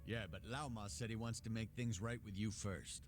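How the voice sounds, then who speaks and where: A man's voice: fairly low pitch, fairly even intonation, moderate pace, faint music behind, close to an everyday voice. An actor playing a touchy, devoted male gang henchman in a video game dub, curt and defensive in his speech. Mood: surly.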